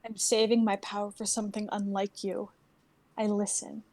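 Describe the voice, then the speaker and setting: A woman's voice: medium pitch, little pitch movement, moderate pace, darkish tone, lightly acted. A woman playing a defiant, self-assured young-sounding ice fighter in a dramatic script reading. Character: Sarah, defiant, confident female ice fighter.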